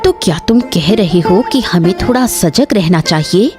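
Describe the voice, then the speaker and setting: Woman as a sharp-tongued mother-in-law. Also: lowish voice, expressive, moderate pace, music in the background, close to an everyday voice. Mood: suspicious. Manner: scornful, scolding. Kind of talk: Hindi cartoon story acting.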